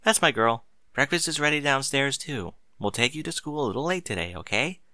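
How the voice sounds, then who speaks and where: A man's voice: medium pitch, lively intonation, fairly quick, sharp tone, no room sound, voice pushed up. A man voicing an exasperated, stern mother of children in an audiobook, speaking in an emphatic, motherly way. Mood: gentle, coaxing. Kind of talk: audiobook character voice.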